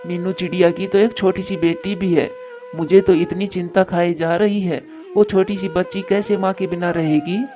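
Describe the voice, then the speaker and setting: A man's voice: high-pitched, steady tone, moderate pace, darkish tone, soft background music, natural voice. A man voicing a caring, troubled adult male bird in a cartoon moral story, speaking earnestly and with plain drama. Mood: distressed.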